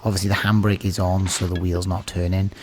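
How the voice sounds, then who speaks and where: Brief: British DIY mechanic in his thirties, deep and a bit hoarse, relaxed and chatty, faint background noise. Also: a little fast. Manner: relaxed, chatty, filler-heavy explaining. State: losing his voice. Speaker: channel host, car mechanic. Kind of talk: DIY car repair tutorial. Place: small home workshop office indoors.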